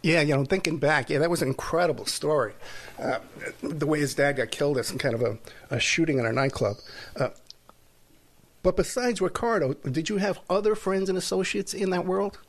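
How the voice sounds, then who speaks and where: A man hosting an interview podcast, asking questions in a relaxed way with frequent pauses and fillers. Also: on the high side. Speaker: podcast host, private investigator. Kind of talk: casual interview.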